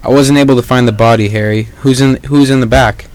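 A man's voice: mid-range voice, fairly even intonation, brisk, a little mellow, close to an everyday voice, rough recording. A man playing an earnest, sensible, matter-of-fact police officer in an amateur old-time radio drama. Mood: concerned.

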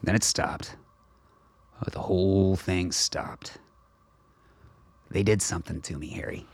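A man playing a gruff, suspicious older father in a radio play, with a terse, profane, guarded manner. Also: deep voice, steady tone, unhurried, no echo, exaggerated voice. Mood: grim. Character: gruff, suspicious old father on a covert mission.

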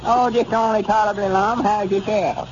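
A man's voice: high voice, steady tone, moderate pace, natural voice. A man playing a humble, doubtful old country grandpap in 1930s radio comedy, with a rural Arkansas accent. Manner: rustic, hesitant, plain-spoken. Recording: noisy muffled 1930s radio recording.

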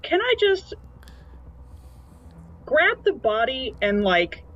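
Animated, high-pitched woman playing a game. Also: slow, muffled recording. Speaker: tabletop game player. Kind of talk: casual game chat.

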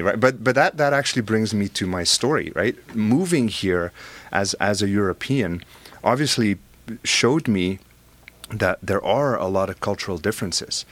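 A middle-aged man from Belgium with a Belgian accent, a guest in a conversational interview, animated and often repeating words as he starts sentences. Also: lowish voice, normal speed, no echo.

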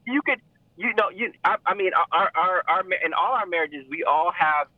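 A man's voice: brisk, dry recording. Talkative man on a tinny line. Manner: rambling, restarts with emphatic pitch jumps. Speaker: podcast co-host. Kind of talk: casual chat. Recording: tinny phone-like sound.

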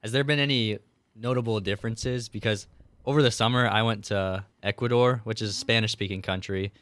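A young man hosts a school podcast in an easygoing, casual way. His voice sits low, and the sound is dry and close. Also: a little fast, no room sound. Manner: relaxed, easygoing, some fillers. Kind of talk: casual student podcast intro. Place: school room.

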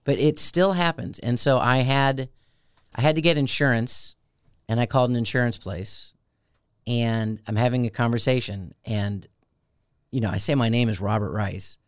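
A man with a tenor voice, telling stories in a casual, animated, self-deprecating way as co-host of a radio show. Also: normal speed, clean tone, no room sound, studio quality. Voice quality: high tenor voice. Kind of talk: casual chat.

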